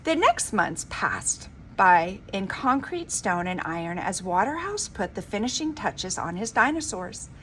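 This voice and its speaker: A woman reading a children's storybook aloud like a teacher, with an expressive, emphatic delivery. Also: medium pitch, unhurried, hardly any pauses. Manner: dramatic, emphatic delivery with informal asides. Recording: clearly noisy homemade recording.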